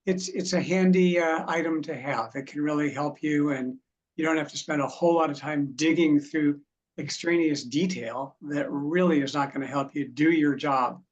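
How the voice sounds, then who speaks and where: A man talking as an author guest, explaining in a steady way. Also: on the high side, moderate pace, lo-fi sound. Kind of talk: explaining in a talk show. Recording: faint background noise.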